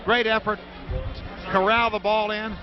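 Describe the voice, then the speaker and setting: An American man calling football play-by-play on the radio in clipped running descriptions that get excited on big plays. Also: high voice, measured pace, over music. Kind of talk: live American football commentary. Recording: dull, noisy radio broadcast from stadium.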